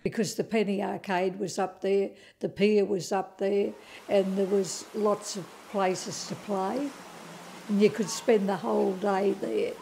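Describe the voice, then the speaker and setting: A woman's voice: medium pitch, steady tone, unhurried, gravelly, dark tone, slight background noise, rough recording. An Australian woman in her nineties reminiscing in an oral history interview. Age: 90s. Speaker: local resident interviewee.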